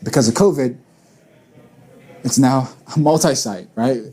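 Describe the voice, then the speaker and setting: A man's voice: medium pitch, measured pace, warm tone, slightly rough. A man in his late twenties, a church planter and pastor, talking earnestly in a casual panel chat and repeating words as he thinks. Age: 20s.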